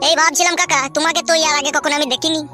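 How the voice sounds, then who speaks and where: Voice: high voice, flat delivery, racing, pushed and bright. A performer voicing a cheeky, impatient young village man in a Bengali comedy cartoon, with brash, exasperated back-talk. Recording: music behind the voice.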